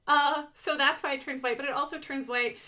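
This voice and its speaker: A woman's voice: high voice, steady tone, normal speed, bright, forceful tone. A woman giving casual, enthusiastic commentary on her own animation, playful, with sing-song asides and dramatic emphasis.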